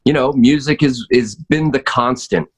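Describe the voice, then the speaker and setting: A man's voice: mid-range voice, moderate pace, slightly rough. An American man in his forties chatting casually as a podcast guest, hesitant and easygoing as he reminisces. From North Wales, Pennsylvania, United States. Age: 40s. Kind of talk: casual chat.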